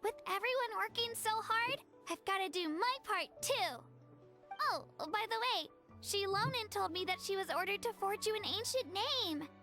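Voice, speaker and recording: An earnest young girl warrior in a video game. The voice is high, girlish and bright, eager yet modest and a little hesitant. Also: animated, moderate pace, faint music behind, heavily acted. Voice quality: youthful, girlish.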